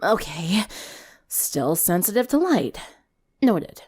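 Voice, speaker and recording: A woman's voice: on the low side, big pitch swings, takes it slowly, a little mellow, breathy edge, heavily acted. A woman voicing a friendly, self-assured teenage girl in a story reading, casual and wry, with concern for her friends. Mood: wry, dismissive.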